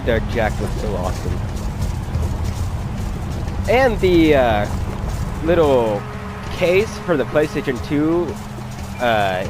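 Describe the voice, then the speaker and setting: A man giving casual let's play commentary as the host of a gameplay video. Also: mid-range voice. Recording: game music loud behind voice. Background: video game music and vehicle sounds.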